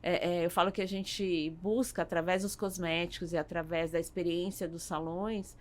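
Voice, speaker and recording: A woman with a Brazilian accent answering as a podcast guest in a conversational, halting way, with repeats and tag questions. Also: fairly low pitch, steady tone. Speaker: podcast guest, salon business owner.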